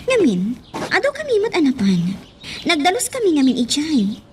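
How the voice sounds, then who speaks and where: An actress with a Filipino accent playing a respectful, caring young daughter, earnest and coaxing, in a radio drama. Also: female voice, high-pitched, huge pitch swings, moderate pace, slight background noise. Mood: apologetic pleading.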